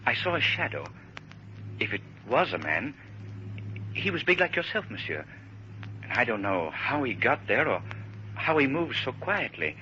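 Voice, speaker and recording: An actor playing an earnest, anxious twenty-year-old student in a 1930s radio drama, halting and polite. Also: male voice, mid-range voice, sing-song, sharp tone. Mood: uneasy. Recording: muffled, hissy old radio broadcast.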